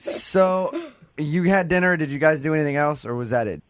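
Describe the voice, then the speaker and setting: A man's voice: a little high, lively intonation, moderate pace, over a noisy crowd. A man hosting a casual morning radio show with teasing, jokey banter.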